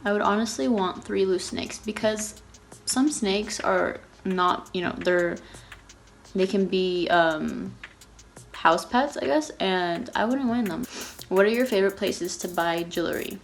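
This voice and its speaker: A woman's voice: medium pitch, unhurried. A nineteen-year-old woman from Texas chatting casually in a Q&A vlog, rambling with lots of fillers and restarts. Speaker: YouTuber.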